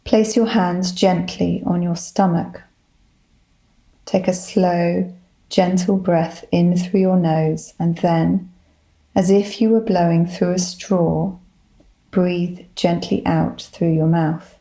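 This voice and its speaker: A woman leading a guided body scan meditation, giving gentle, soothing instructions in a calm manner. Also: on the low side, takes it slowly, rough recording. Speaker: psychologist, guided meditation leader.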